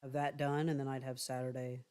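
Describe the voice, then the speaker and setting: A man with a light, higher voice that callers sometimes take for a woman's, rambling through casual stories. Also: a little fast, clean tone. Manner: rambling, relaxed storytelling. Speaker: stream co-host. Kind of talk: casual chat.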